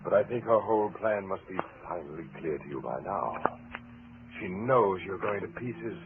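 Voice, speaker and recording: An actor playing a coldly vengeful husband posing as a ghost in a 1940s radio mystery, measured, sardonic and taunting. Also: male voice, sits low, expressive. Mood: eerie, ominous. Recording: noisy narrowband vintage radio recording.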